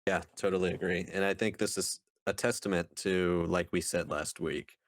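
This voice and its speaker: A man's voice: low voice, steady tone, normal speed, dry recording, studio quality. A man co-hosting a review podcast, speaking in a casual conversational style. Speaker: podcast co-host reviewing books.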